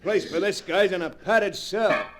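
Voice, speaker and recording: A man playing a brisk, tough policeman in 1940s radio melodrama, on an old noisy recording. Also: sits high, animated, fairly bright tone, soft background music. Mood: urgent, commanding.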